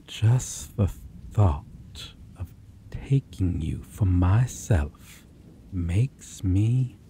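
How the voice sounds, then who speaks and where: A man voicing a possessive, predatory male vampire in an ASMR roleplay, teasing and intimate, with low, purring chuckles and sudden whispers. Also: lively intonation, vocal fry, a little hiss or hum, full character voice. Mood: teasing, menacing. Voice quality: low, slow, purring delivery with soft chuckles.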